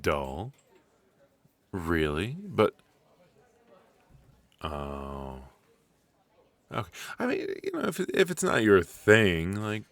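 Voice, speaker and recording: Deep-voiced, rambling, flirty coffee date played by a man. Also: no echo, heavily acted. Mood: curious, sympathetic.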